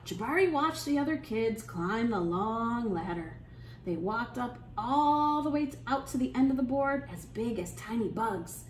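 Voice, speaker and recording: A woman leading a storytime for young children, reading aloud in an animated, encouraging way and putting questions to her listeners. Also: fairly high pitch, a little slow. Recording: echoey room, clear background noise.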